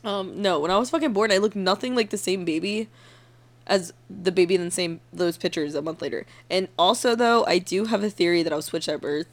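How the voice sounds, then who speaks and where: A young woman with a deep voice, co-hosting a casual chat, swearing and laughing a lot. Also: brisk. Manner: giggly, swearing, keeps laughing mid-sentence. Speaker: podcast co-host.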